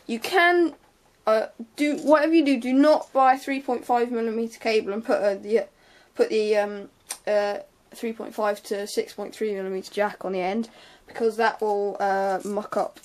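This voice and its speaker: A woman's voice: a little high, fairly even intonation, moderate pace, darkish tone. A young woman gives an informal, unscripted hands-on tutorial in a hesitant, offhand way, trailing off into asides. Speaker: sound tech tutorial presenter.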